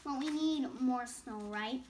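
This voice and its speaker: A woman's voice: high voice, a little slow, background noise. A young girl reading a bedtime story aloud with casual explanatory asides, stumbling over words and rereading phrases. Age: child.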